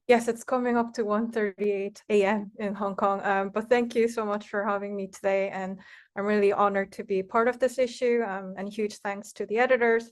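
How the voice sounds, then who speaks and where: A woman speaks at an average pitch as a guest academic. Her delivery is polite and conference-like, as someone addressing an online panel from a remote location. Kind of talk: polite conference-style opening remarks.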